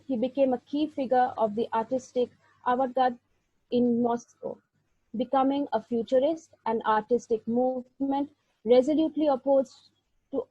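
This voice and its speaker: A woman lecturer with a fairly high, mellow, breathy voice, speaking in a measured, deliberate manner. She reads verse and narrates a lesson. The recording is dry and a little dull and lo-fi. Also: no room sound. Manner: measured, deliberate delivery with occasional slips. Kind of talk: illustrated lecture with poetry reading. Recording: dull, lo-fi sound.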